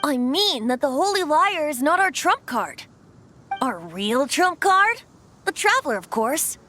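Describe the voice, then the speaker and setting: A woman's voice: sits high, sing-song, a little slow, bright, forceful tone, a little hiss or hum, full character voice. A woman voicing a cheerful, youthful male bard in game cutscene dialogue, lilting and theatrical. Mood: playful.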